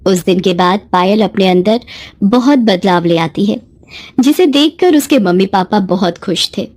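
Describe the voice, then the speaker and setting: A woman's voice: medium pitch, unhurried, a little mellow, dry recording, studio quality. A woman narrating a scripted moral bedtime story as its storyteller.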